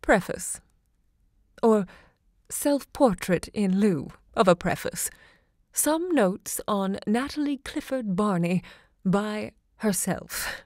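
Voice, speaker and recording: An actress playing an elderly American woman writer and literary hostess in an audio drama, speaking in a gracious, deliberate, theatrical way. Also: medium pitch, expressive, a little mellow, no room sound, clean studio sound. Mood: reflective, theatrical. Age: older.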